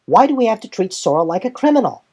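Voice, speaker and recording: A man's voice: sits high, big pitch swings, fast talker, gravelly, a little mellow, pitched above the performer's own voice, heavily acted. A man voicing a loyal younger brother warrior in a fan audio drama, pleading and impassioned. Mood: protesting.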